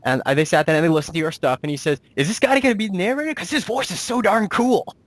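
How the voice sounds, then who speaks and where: A man's voice: high voice, animated, quick pace, no room sound. A man hosting a casual interview as a director, explaining things in a rambling way and restating himself. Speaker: interviewer and director of an amateur audio drama.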